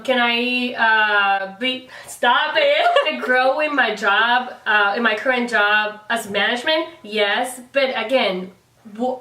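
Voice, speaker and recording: A woman's voice: fairly high pitch, bright, forceful tone. A woman in her twenties, a guest talking earnestly and at length, with frequent restarts. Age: 20s. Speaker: guest, fashion blogger. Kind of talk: casual chat. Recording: echoey room, mic at a distance.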